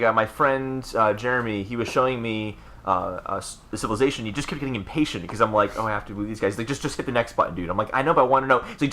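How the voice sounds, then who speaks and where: A man's voice: medium pitch, bright, forceful tone. A man co-hosting a podcast and chatting casually about video games. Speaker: podcast co-host.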